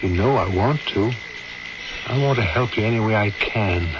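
Gentle, earnest male, played by an actor, low and unhurried, very noisy old radio sound. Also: highs cut off, close to an everyday voice. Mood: tender, concerned. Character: tender, earnest man eager to help. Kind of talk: old-time radio drama acting.

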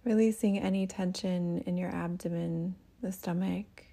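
A woman leading a guided meditation as a teacher, speaking in a gentle, soothing manner with breathy phrase endings. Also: on the low side, steady tone, measured pace.

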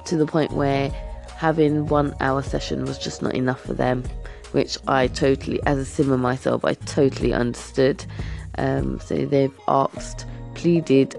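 A young adult British youth worker chatting casually to camera, croaky from just waking up, earnest and full of fillers. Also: medium pitch, a little mellow. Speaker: youth worker and gaming vlogger.

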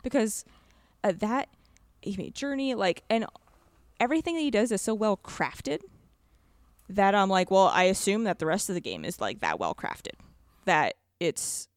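A woman's voice: medium pitch, very animated, moderate pace, long pauses, no room sound. A woman talking casually and emphatically about video games, with an enthusiastic conversational manner. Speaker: podcast co-host discussing video games. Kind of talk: casual chat, game review.

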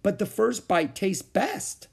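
A man voicing a willful little preschool girl in a storybook reading, speaking in a slow, sing-song childish way. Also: fairly high pitch, big pitch swings, creaky, voice pushed up, heavily acted. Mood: cheeky.

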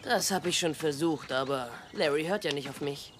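A man's voice: a little high, moderate pace, noisy background, natural voice. A male actor playing a wary, defensive sixteen-year-old outsider in a German TV drama dub, speaking standard German. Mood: earnest, resigned. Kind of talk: dubbed TV drama dialogue. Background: music.

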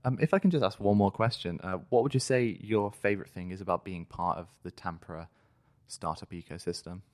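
A podcast host with a very low voice who asks questions in a loose, casual way, with frequent ums. The sound is close and dry. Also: fairly quick, a little mellow, no room sound. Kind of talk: casual interview.

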